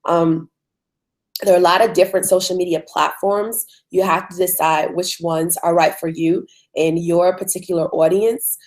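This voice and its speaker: A woman with a hoarse voice from a cold, hosting a live online webinar in a conversational way with frequent ums. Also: on the low side, fairly even intonation, moderate pace, a little mellow. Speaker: webinar host.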